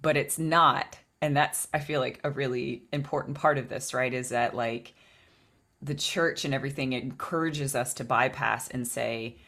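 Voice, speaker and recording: A woman with a low voice and vocal fry, talking through spiritual ideas in a reflective podcast chat with many restarts. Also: fairly even intonation, moderate pace, fairly bright tone.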